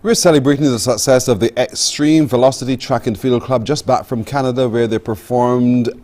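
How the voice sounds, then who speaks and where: A man's voice: medium pitch, fairly even intonation, a little fast, dark tone, faint music behind. A man hosting a live morning broadcast interview. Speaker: morning TV show host.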